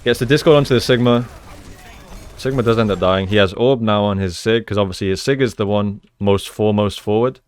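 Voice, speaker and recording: Clear, low-voiced man analysing gameplay. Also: fairly quick. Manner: explanatory, conversational, often checks with "right?". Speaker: gaming coach, analyst. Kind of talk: video game gameplay analysis commentary.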